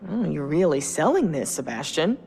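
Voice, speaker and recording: Wary, exasperated young skeptic. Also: female voice, deep voice, very animated, normal speed, gravelly, a little mellow, faint music behind, exaggerated voice. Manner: exasperated, arguing. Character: cautious young woman, anxious skeptic. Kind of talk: video game cutscene acting.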